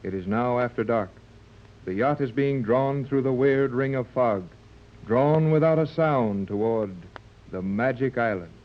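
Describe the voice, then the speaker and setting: A man's voice: mid-range voice, unhurried, lightly acted. A man narrating a 1930s radio adventure serial in a scripted, dramatic storytelling style. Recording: muffled 1930s radio recording.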